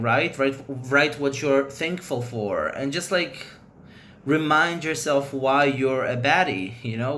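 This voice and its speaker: A man's voice: medium pitch, fairly bright tone, slight background noise. A man whose first language is Hebrew, chatting casually to camera as a vlogger, rambling loosely and giving frank opinions.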